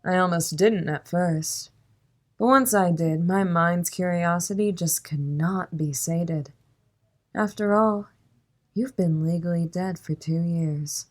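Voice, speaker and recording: Audio drama villainess, female actor: composed crime boss, lowish voice, courteous, playful menace. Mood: coolly menacing. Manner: polite, measured, coolly amused formal speech.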